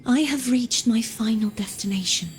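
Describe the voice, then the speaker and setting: A woman playing a gentle, resigned, self-sacrificing young woman in a video game, speaking softly and breathily with solemn, wistful deliberation. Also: on the high side, moderate pace, a little hiss or hum, natural voice. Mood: sorrowful, at peace. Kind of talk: dramatic video game voice acting.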